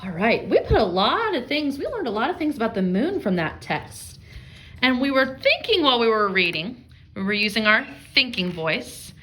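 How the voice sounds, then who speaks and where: A woman's voice: fairly high pitch, sing-song, normal speed, fairly bright tone, low-quality recording. Woman teaching young children through a read-aloud. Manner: addresses young children directly, thinking aloud with guiding questions. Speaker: elementary school reading teacher.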